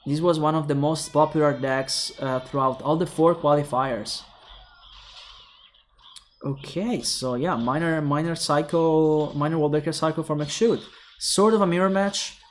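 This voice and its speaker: A young man with an Italian accent casting a mobile game tournament alone, calling plays quickly and thinking aloud to viewers. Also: on the high side, a little hiss or hum. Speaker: esports caster. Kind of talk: solo esports play-by-play commentary.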